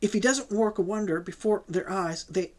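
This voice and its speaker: A woman's voice: mid-range voice, normal speed, darkish tone, pitched above the performer's own voice, lightly acted. A man reading an audiobook voices a desperate young woman who pleads through sobs and stammers. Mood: tearful, pleading. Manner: sobbing, stammering pleas.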